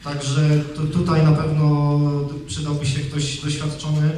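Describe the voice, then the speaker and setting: A man's voice: fairly high pitch, monotone, measured pace, dark tone, slight background noise. A man in his thirties giving a technical talk on a conference stage into a handheld mic, in a loose conversational way full of fillers and restarts. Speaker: conference speaker. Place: large conference hall, on stage. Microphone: handheld microphone. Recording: echoey hall, distant from the mic.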